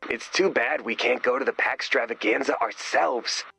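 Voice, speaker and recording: Bright, creaky, goofy sidekick. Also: male voice, mid-range voice, normal speed, no room sound, heavily acted. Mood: sheepish. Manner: earnest, guileless. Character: naive, good-natured goofy male sidekick. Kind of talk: video game character voice acting.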